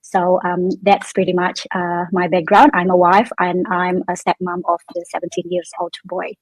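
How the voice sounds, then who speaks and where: Flat-voiced woman from Indonesia. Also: on the low side, normal speed, dry recording, poor audio. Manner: hesitant, frequent ums. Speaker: guest. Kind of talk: casual self-introduction.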